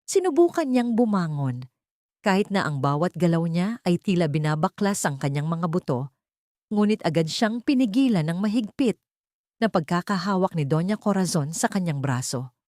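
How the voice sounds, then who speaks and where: A woman's voice: lowish voice, big pitch swings, moderate pace, a little mellow, no room sound, studio quality. A woman reading a scripted dramatic story as its narrator.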